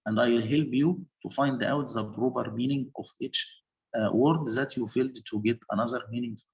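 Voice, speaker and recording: A man with as his walks through his teaching steps one at a time. He talks in a measured, explanatory way, pausing and restarting as he goes. His voice sits at a middle pitch. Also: fairly even intonation, creaky, poor audio. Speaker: English teacher. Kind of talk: online panel discussion.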